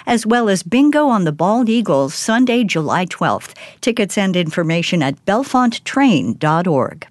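Woman radio announcer, lively tone. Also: mid-range voice, dry recording. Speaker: underwriting announcer.

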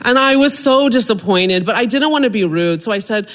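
A middle-aged woman from suburban Maryland telling a funny personal story on stage, with self-deprecating humor and lively comic timing. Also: on the high side, a little fast.

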